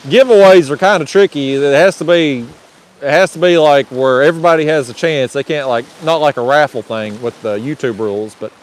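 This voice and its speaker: A man's voice: fairly high pitch, a little fast. A man hosting a casual livestream outdoors on a windy lake bank, laid-back and joking. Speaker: livestream host.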